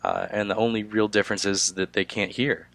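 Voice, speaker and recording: A young man, hoarse from a cold, giving a class presentation with frequent "uh" fillers. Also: fairly low pitch, fairly even intonation, a bit bright, no room sound. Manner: halting, many filler "uh"s. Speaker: student presenter.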